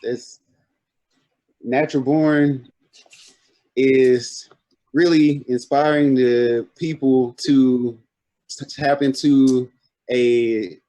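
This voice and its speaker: A man in his twenties, a guest artist talking casually on a video-call podcast, relaxed and searching for his words as he goes. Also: mid-range voice. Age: 20s.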